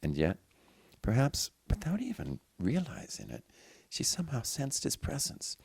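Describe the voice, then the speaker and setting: A man reading a literary story aloud with theatrical, deliberate phrasing. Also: medium pitch, huge pitch swings, gravelly, a little mellow, husky, no echo. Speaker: audiobook reader. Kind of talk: dramatic literary story narration.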